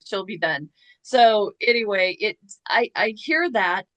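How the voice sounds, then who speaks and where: A woman hosting a chat show, talking casually with quick asides and rhetorical questions. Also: mid-range voice, fairly bright tone, no room sound, rough recording. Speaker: podcast host.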